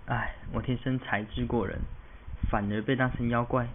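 A man's voice: medium pitch, steady tone, moderate pace, phone-quality sound, slight background noise, lightly acted. A man acts out a learned young scholar who is really a fox spirit. He lectures with wounded pride and boasts defiantly. It is a radio drama with thin, band-limited sound. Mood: boastful, defiant. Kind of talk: amateur radio drama acting.

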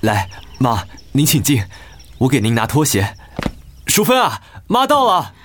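A man's voice: medium pitch, huge pitch swings, measured pace, background noise. A man playing a busy, practical, concerned husband and father in a radio drama, with a Taiwanese Mandarin accent. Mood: courteous. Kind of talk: dramatic radio play acting.

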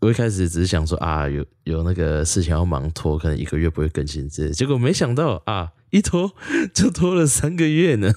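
A man's voice: deep voice, expressive, brisk, darkish tone, dry recording, clean studio sound. A man in his early twenties with a Taiwanese accent, hosting a casual solo podcast in an informal, rambling, chatty way. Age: early 20s. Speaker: podcast host, university student.

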